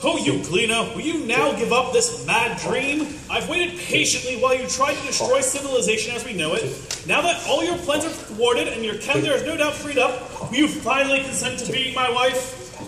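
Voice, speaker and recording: Guy doing a pompous lovesick gent, real high and bright, radio comedy in an echoey hall. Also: expressive, moderate pace. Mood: pleading. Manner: pompous, mannered, over-polite. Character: pompous, chipper, lovelorn gentleman suitor. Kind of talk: comic radio play acting. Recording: echoey hall, distant mic, faint noise.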